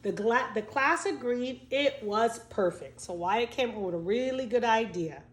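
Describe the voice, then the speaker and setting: A woman teacher reading a storybook aloud to children, expressive and speaking directly to young listeners. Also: a little high, measured pace. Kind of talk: storybook read-aloud with asides. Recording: echoey room.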